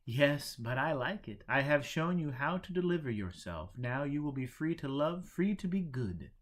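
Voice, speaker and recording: A man voicing an imperturbable, teasing monk who teaches in deliberate, didactic pronouncements in a storybook. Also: fairly high pitch, expressive, pitched above the performer's own voice. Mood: calmly teasing.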